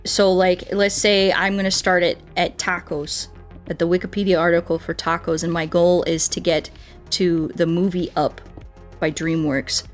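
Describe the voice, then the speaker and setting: A woman's voice: fairly low pitch, little pitch movement, moderate pace. Female game streamer, rambling and playful, casual chat delivery over background music. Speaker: game livestreamer. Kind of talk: casual livestream chat while playing.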